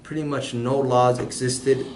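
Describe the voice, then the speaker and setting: A man delivers a prepared class presentation, hesitating often and filling gaps with "um." His delivery is flat, with little rise or fall in pitch. The room is echoey and has a faint hiss of background noise. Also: unhurried. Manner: hesitant, many "um" fillers. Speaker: student presenter.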